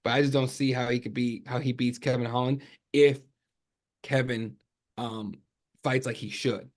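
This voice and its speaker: A man speaking English as a second language, talking sports in an animated way, with lots of fillers and restarts as he thinks aloud. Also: medium pitch, moderate pace. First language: not English. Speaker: MMA journalist guest. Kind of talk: casual sports podcast chat.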